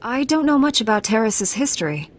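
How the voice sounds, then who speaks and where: A woman playing a calm, resolute heroic knight in a video game, with a measured, matter-of-fact delivery. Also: on the high side, a little hiss or hum. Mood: composed.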